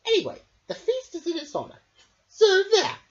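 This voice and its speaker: A woman's voice: extremely high pitch, wildly swooping pitch, normal speed, voice pushed up, heavily acted. A woman voicing a petulant, bossy, power-hungry king in a storybook, with exaggerated whining. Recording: dull lo-fi home recording.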